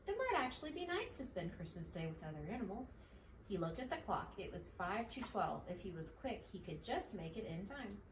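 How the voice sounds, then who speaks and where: A woman reads a children's storybook aloud in a medium-pitched voice. The recording is echoey and slightly distant, with faint noise behind her. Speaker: mother reading a storybook.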